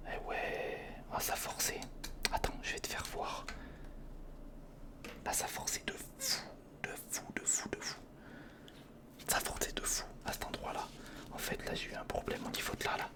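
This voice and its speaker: A breathy, unvoiced whisper carries this ASMR commentary. The speaker mutters casually to themselves while working with their hands, repeating short phrases in informal slang, close to the mic. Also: normal speed, long pauses. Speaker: ASMR video creator. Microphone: close-up studio condenser or handheld recorder.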